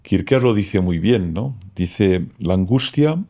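A man's voice: low voice, expressive, measured pace, warm tone. A man whose first language is Catalan, a philosopher guest talking through ideas in a thoughtful, explanatory way and often ending phrases with a questioning tag. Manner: thoughtful, explanatory, keeps tagging "¿no?". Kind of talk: reflective interview talk.

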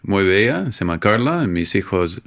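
A man's voice: deep voice, sing-song, normal speed, fairly bright tone. A middle-aged man from Minnesota with an American accent, speaking Spanish as a second language, earnestly answering interview questions. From United States, Minnesota. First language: English. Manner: earnest, searching for words in Spanish. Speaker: guest, homeless outreach worker. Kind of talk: interview conversation.

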